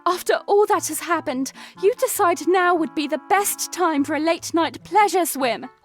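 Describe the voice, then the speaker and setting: A woman's voice: extremely high pitch, normal speed, soft background music. An actress voicing an earnest, worried young princess in an audio drama who teases her knight. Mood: teasing.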